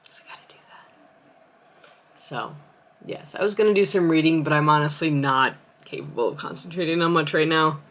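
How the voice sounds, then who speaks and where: A woman's voice: low-pitched, moderate pace, pauses often, a bit bright. A young adult woman, an aspiring author, chatting casually at home in a vlog, rambling and correcting herself as she thinks aloud. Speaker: aspiring author vlogging her writing.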